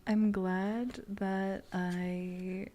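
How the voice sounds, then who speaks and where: A woman streamer speaking softly in a playful ASMR livestream style, often dropping into a whisper. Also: medium pitch, little pitch movement, very slow. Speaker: streamer reading tarot.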